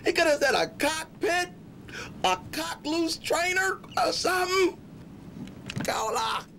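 A man's voice: lively intonation, takes it slowly, creaky, bright, forceful tone. An American man hosting a comic live call-in show, with an exaggerated, playful jive delivery. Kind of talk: comic live phone-in banter. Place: small studio with acoustic foam walls. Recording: low-fi tape, some rumbly noise.

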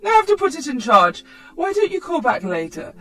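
A woman's voice: sits high, very animated, fairly quick, sharp tone, pitched above the performer's own voice, heavily acted. A woman narrator playing an evasive, flustered grandmother who brushes off her daughter in exclamations.

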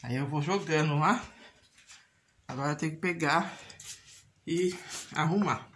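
Household noise and clicks, low-voiced Brazilian woman, casual home vlog chat, rambling, repetitive. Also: measured pace, fairly bright tone. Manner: rambling, repetitive, often ends with a checking tag. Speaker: home vlogger, mother. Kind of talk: casual chat while doing chores. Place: small room at home, indoors.

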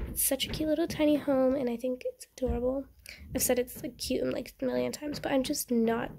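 A woman's voice: high voice, fairly quick, vocal fry, noisy background. A young woman casually commenting on her game for her channel, chatty and rambling, with a slightly stuffy nose from a cold. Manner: chatty, rambling, easily excited. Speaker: gaming channel host.

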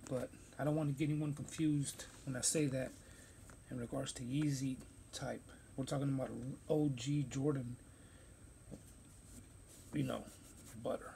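A man of ordinary pitch riffs casually on a pair of sneakers, full of slang and loose asides. He sounds close to a handheld phone in a cluttered storage room. A faint hiss sits under the voice. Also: vocal fry, dark tone, poor audio. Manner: loose, rambling slang-heavy talk. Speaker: sneaker reviewer. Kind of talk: casual unboxing vlog. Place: cluttered room stacked with shoe boxes.

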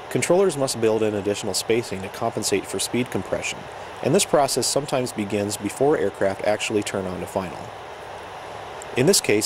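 A man reading scripted educational narration about procedures in a measured, explanatory manner. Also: lowish voice, noisy background. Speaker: narrator of an aviation training video.

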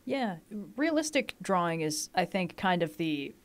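A woman hosting a casual podcast, lively and quick to laugh at herself. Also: lowish voice. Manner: lively, laughing, self-deprecating. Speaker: podcast host. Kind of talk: casual chat.

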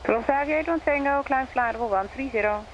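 A man's voice: very high voice, quick pace, fairly bright tone, lo-fi sound. A man working as an air traffic controller, giving clipped, formulaic radio instructions to aircraft. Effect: radio transmission. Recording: noisy cockpit radio feed.

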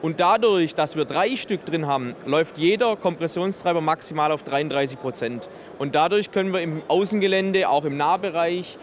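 High-pitched man explaining technical products. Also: a little hiss or hum. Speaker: exhibitor presenting a loudspeaker system. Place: busy trade fair booth in a large hall. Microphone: handheld interview microphone held by interviewer.

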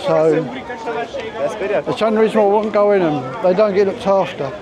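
High-voiced Cockney man strolling. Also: unhurried. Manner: chatty, thinking aloud as he strolls. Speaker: vlogger. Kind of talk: walking tour commentary. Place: outdoor resort streets. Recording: busy street crowd behind.

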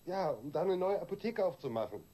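An actor playing a guilt-ridden, weak-willed husband in a radio crime drama, halting and defensive. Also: male voice, fairly high pitch, sing-song, warm tone. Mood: bitter, defensive.

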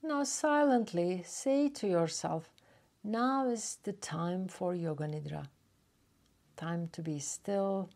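Slow, low-pitched woman guiding relaxation. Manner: gentle, unhurried, with long pauses. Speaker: yoga nidra teacher guiding a meditation. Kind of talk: guided relaxation meditation, soothing instruction.

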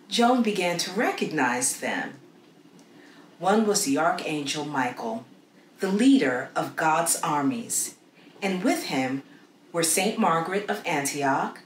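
A middle-aged woman reading a story aloud for children in an expressive, deliberate way with dramatic pauses. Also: low-pitched. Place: small indoor room against a plain wall. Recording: roomy echo with faint background noise.

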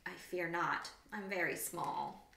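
A woman voicing an eager, polite young female wallaby with a squeaky voice in a children's storybook. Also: fairly even intonation, slow pace, creaky, sharp tone, distant mic, a little hiss or hum, full character voice. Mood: frightened, urgent. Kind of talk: children's storybook read-aloud. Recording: roomy, echoing indoor room.

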